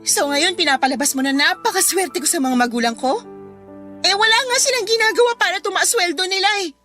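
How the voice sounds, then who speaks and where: A woman's voice: very high voice, expressive, a little fast, bright, forceful tone, faint music behind, heavily acted. An actress in a Filipino radio drama playing a jealous, combative teenage schoolgirl, sullen and sarcastic, with a Filipino accent. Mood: resentful. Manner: sarcastic, bitter.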